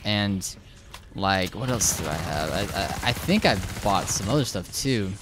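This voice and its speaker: Low-voiced rambling young gamer man. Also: lively intonation, a little slow, background noise. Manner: rambling, hesitant, frequent filler words and restarts. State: slightly hoarse. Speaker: gameplay commentator. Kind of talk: casual commentary over gameplay. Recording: game gunfire loud behind voice. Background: shooter game sounds and gunfire.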